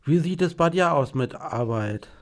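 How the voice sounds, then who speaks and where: A man's voice: a little high, lively intonation, normal speed. A man co-hosting a podcast, talking in a plain, colloquial way between prepared questions. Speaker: podcast co-host.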